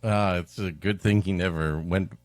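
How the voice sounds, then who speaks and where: A man's voice: low voice, steady tone, normal speed, no room sound, soft background music. A man co-hosting a comedy show, making wisecracks in casual, joking banter. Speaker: co-host.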